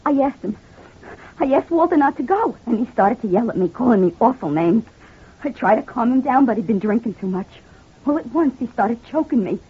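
A distraught wife in a mid-century radio crime drama. Her voice is high and very husky, halting and full of feeling, on a narrow, muffled old recording. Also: expressive. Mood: shaken. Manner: hesitant, emotional, halting. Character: distraught wife claiming she shot her abusive husband. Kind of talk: 1940s-50s radio crime drama acting.

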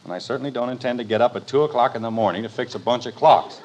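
A man's voice: mid-range voice, rapid-fire, a little mellow, highs cut off, natural voice. An actor playing an exasperated, stubborn middle-aged father in an old radio sitcom, grumbling and arguing emphatically. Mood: insistent, blustering. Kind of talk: 1940s-50s radio sitcom acting. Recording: noisy old radio recording.

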